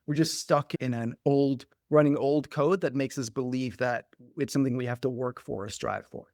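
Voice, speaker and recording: A man's voice: mid-range voice, fairly even intonation, moderate pace, darkish tone, no echo, clean studio sound. A middle-aged man from Denmark, a podcast guest and coach speaking reflectively and animatedly, with lots of "like" fillers. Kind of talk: conversational interview.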